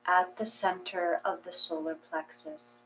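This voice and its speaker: A woman reading a guided meditation in a gentle, measured, calming way. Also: on the low side, poor audio. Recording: clear mains hum.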